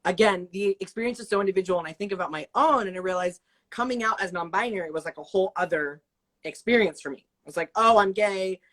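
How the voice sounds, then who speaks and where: A woman's voice: medium pitch, fast, a bit bright. A woman in her twenties hosting an interview over a video call, affirming and thoughtful, with many fillers as she asks questions. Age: 20s. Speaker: host. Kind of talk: casual interview chat.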